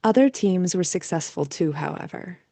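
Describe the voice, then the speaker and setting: A woman's voice: lowish voice, lively intonation, moderate pace, creaky, mellow, no room sound. A woman reading scripted story narration as the narrator of an amateur audio drama.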